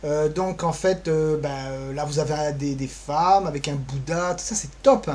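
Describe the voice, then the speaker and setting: A man with a fairly high voice gives a casual, improvised walkthrough of what is on his screen. He thinks aloud in a rambling, offhand way, with a flippant streak. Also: normal speed, a little mellow. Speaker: co-presenter giving a guided tour of social media pages.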